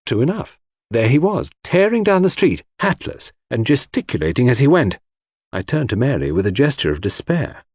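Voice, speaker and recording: A male audiobook narrator reads a mystery novel dramatically. His pitch rises and falls widely, and his voice has a husky grain. The sound is dry and close. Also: sing-song, moderate pace, darkish tone, dry recording.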